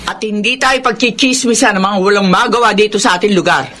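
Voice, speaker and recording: Casting: a woman to play a shrill, blaming Filipina gambler mother in radio drama, middle-aged, sharp-toned. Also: fairly quick, lightly acted. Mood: relieved, scornful. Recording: faint background noise.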